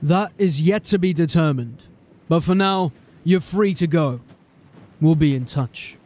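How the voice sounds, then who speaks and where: A man's voice: a little high, unhurried, a little mellow, natural voice. A man playing a stern male city watch sergeant who questions people in a formal, suspicious way. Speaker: police sergeant.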